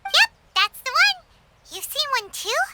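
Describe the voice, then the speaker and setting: A woman voicing a tiny, excitable, blunt girl companion in a video game, with a squeaky childlike voice and chirpy manner. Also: unhurried, full character voice. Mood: pleased. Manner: chirpy, exclamatory, informal. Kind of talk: cartoon-like game voice acting. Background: music.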